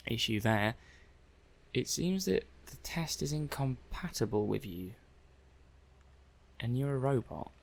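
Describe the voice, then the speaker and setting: A man's voice: mid-range voice, gravelly. A man playing a mild-mannered, puzzled robot-testing technician in an ASMR roleplay, hesitant and muttering to himself. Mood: perplexed. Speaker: roleplay performer.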